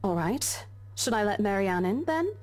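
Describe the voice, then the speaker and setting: A woman's voice: medium pitch, sing-song, moderate pace, a little mellow, lightly acted. An actress playing a polite, accommodating woman estate agent in a visual novel, speaking professionally. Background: faint music.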